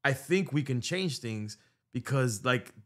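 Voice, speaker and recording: A man's voice: medium pitch, lively intonation, a little slow. A middle-aged man hosting a podcast, telling a long story in casual chat, swearing freely and dropping Spanish words, into a studio mic. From United States. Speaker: podcast host. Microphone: studio mic on boom arm.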